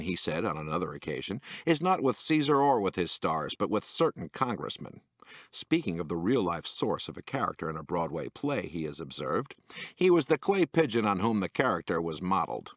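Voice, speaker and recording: A man's voice: low voice, dry recording. A man reading a humorous magazine essay aloud in a wry, dry manner, lifting his voice for each quotation. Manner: dry, wry delivery that lifts into quoted voices. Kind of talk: scripted narration.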